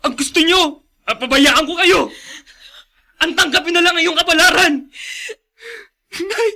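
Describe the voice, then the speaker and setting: Casting: devoted young adult son, radio drama. High-pitched, sharp voice; earnest, guilty, desperate and pleading. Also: measured pace, exaggerated voice. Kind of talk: Filipino radio drama acting.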